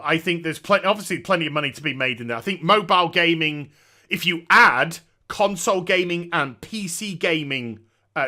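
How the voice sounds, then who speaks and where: A man with a fairly high-pitched voice and a bright, forceful edge. He talks like an opinionated gaming commentator speaking off the cuff, blunt and emphatic, repeating key words for stress. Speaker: gaming news commentator and streamer.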